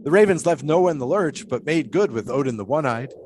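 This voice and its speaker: A man's voice: on the high side, animated, a little fast, darkish tone, a little hiss or hum, natural voice. A man playing a folksy, philosophical pole sitter. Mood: musing. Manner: folksy, musing, preachy. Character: folksy 1920s male flagpole sitter, pious and philosophical. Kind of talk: audio drama acting.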